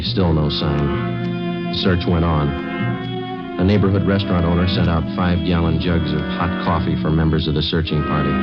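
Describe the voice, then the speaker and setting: A man playing a stoic police detective who narrates his case tersely and deadpan in 1950s radio drama style. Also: sits low, natural voice. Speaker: lead actor and narrator. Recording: dull vintage radio recording with music.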